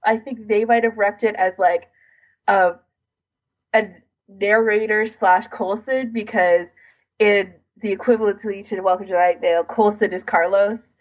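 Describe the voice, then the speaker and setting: Husky-voiced woman chatting casually. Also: mid-range voice, steady tone, a little slow, low-quality recording. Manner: informal, frequent "like" as filler. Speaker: podcast co-host. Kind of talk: casual podcast chat.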